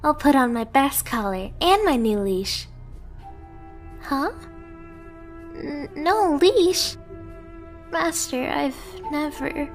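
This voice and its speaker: Slow, high-voiced devoted catgirl played by a woman. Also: lively intonation, faint music behind, heavily acted. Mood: surprised, excited. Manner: tender, pleading, earnest. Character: devoted, submissive young female catgirl pet, clingy and eager. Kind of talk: scripted ASMR romance roleplay.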